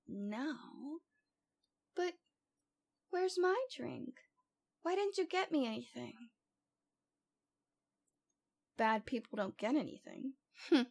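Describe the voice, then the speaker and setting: Woman acting high-voiced lovesick yandere girl. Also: gravelly, a little mellow, exaggerated voice. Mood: coy, pouting. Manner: coy, pouty, dramatic, girlish delivery. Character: cute young yandere stalker girl, lovesick, playful, unrepentant. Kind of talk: ASMR roleplay acting.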